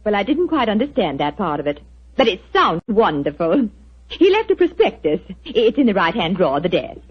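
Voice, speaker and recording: An actress playing a sweet, eager young wife, who speaks gently and coaxingly in 1940s radio acting. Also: female voice, medium pitch, big pitch swings, brisk, slightly rough. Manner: gentle and coaxing, hesitates when unsure. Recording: dull 1940s radio recording.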